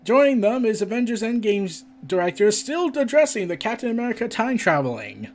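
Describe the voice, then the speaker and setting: A man's voice: high voice, big pitch swings, a little fast, slight background noise. A man hosting a casual entertainment and gaming news commentary, stumbling as he reads and adding chatty asides. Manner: stumbling when reading aloud, with chatty asides. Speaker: podcast host.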